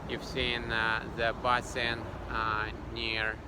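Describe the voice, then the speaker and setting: Slow, hesitant man. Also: mid-range voice, monotone. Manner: hesitant, many fillers and restarts. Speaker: vlog host. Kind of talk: casual walking tour narration. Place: outdoors on a city street. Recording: loud street traffic noise.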